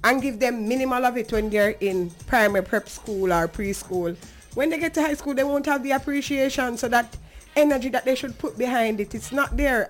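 High-voiced, emphatic Jamaican woman. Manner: earnest, emphatic, conversational. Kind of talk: advocacy talk on a talk show, slipping into patois.

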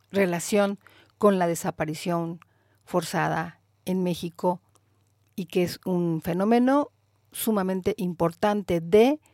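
A woman with a Mexican Spanish accent, hosting a radio show in a measured, thoughtful way, pausing with fillers as she comments. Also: on the low side, creaky, warm tone, dry recording, clean studio sound. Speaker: radio host.

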